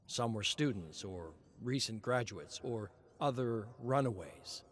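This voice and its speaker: A man narrating a story in a measured, expressive reading. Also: on the low side. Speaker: lore narrator.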